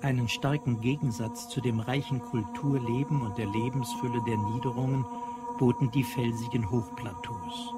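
A man narrating scripted literary prose in a measured, precise way. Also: medium pitch, steady tone. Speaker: audio drama narrator. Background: prominent music.

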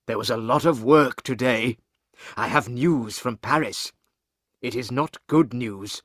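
A man voicing a banker who gravely delivers alarming news in measured, emphatic sentences, in an audiobook. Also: medium pitch, a bit bright, no echo, clean studio sound. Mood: grave, urgent.

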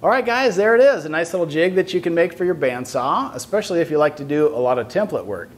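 A man's voice: a little high, expressive, fast talker. Man explaining a shop project. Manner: friendly, explanatory, step-by-step. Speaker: woodworking instructor. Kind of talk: instructional shop tutorial. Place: wood shop with lumber racks, indoors.

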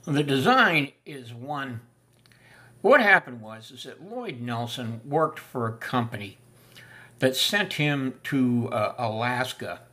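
An older man talking through the history of a piece of camping gear in a folksy, unhurried way, with many filler sounds. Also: mid-range voice, lively intonation, bright, forceful tone. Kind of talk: casual spoken history lesson. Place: small room indoors.

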